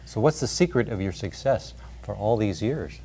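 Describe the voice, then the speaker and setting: A man's voice: on the low side, animated, normal speed, mellow. A man interviewing an older couple, enthusiastic and full of exclamations and affirmations. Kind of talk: casual interview chat.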